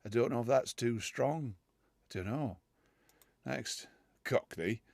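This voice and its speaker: A man's voice: medium pitch, slow pace, creaky, a little mellow, dry recording. A man from the United Kingdom trying out accents for fun in a casual comedy video, laughing at himself and chatting between attempts. He talks loosely, in stops and starts. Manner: self-mocking, laughs often, switches in and out of accents. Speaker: voice-challenge vlogger.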